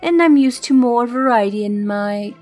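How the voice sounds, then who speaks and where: Brief: actress as headstrong young femme fatale, lightly high voice, impatient and bossy, soft music behind. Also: female voice, moderate pace, close to an everyday voice. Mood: bored, pouting. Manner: haughty, impatient. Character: bossy, restless, sharp-tongued young fugitive woman. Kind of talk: amateur comic dub voice acting.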